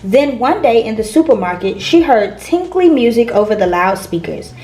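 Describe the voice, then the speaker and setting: A woman's voice: fairly high pitch, big pitch swings, moderate pace, warm tone, a little hiss or hum. A woman reading a children's picture book aloud as a storyteller.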